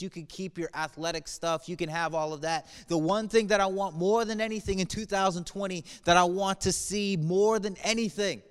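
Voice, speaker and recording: A man's voice: high-pitched, smooth. A man in his thirties from the United States, giving an earnest video message to a congregation, moving between playful humor and somber reflection. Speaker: church speaker. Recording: reverberant room.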